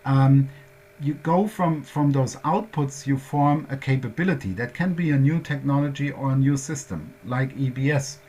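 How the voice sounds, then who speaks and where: A man's voice: medium pitch, normal speed, darkish tone, husky. A man with a German accent presenting at a workshop, explaining concepts step by step in a methodical way.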